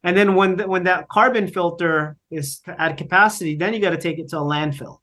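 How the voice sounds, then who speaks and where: Middle-aged man, from the Philippines, fairly high voice, explanatory, lots of restarts. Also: brisk, sharp tone. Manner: explanatory, filler-heavy with frequent restarts. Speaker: guest, company founder. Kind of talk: conversational interview.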